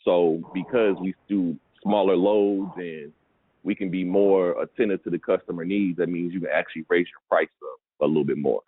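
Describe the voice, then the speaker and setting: A man's voice: deep voice, steady tone, moderate pace, no room sound. A man coaching in a casual, conversational way, often checking that the listener follows, as in a business advice call. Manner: conversational, repeats check-in questions for understanding. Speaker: pallet business coach advising a caller. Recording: narrow phone-like call audio.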